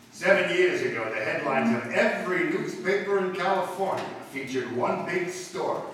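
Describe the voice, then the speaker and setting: A man's voice: mid-range voice, a bit bright. A man hosting and narrating a live old-time radio show in a scripted presenting style. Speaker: host and narrator. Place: large hall, live performance. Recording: echoey hall, distant mic, clear noise.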